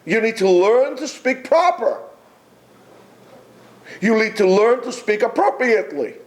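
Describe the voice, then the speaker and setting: A man giving a Torah lecture to yeshiva students, emphatic and exhorting, with many pauses. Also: high voice, animated. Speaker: yeshiva teacher.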